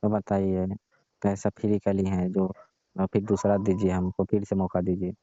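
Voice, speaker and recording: A man's voice: low voice, monotone, normal speed, warm tone, slight background noise, close to an everyday voice. A man playing a nagging, teasing customer in a comic phone call, prodding in colloquial, casual talk. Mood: complaining. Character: nagging, teasing male customer complaining about fruit. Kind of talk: staged comic phone conversation, casual chat. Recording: dull, dry lo-fi call recording.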